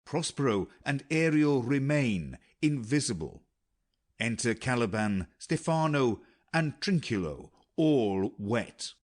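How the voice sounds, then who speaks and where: A man serves as narrator, reading scripted stage directions. His mid-pitched voice moves up and down a lot, and he takes his time. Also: big pitch swings, slow pace.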